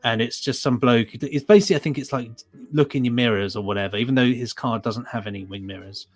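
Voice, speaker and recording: A man's voice: medium pitch, fast. British guy chatting casually on a podcast, mid-range voice, bit of music behind. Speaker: podcast co-host. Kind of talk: casual chat.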